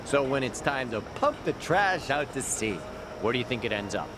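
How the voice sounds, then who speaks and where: A man's voice: mid-range voice, lively intonation, moderate pace, background noise, natural voice. An actor playing a cynical, wry, streetwise local man in an English-dubbed video game, chatty and given to sardonic explanations.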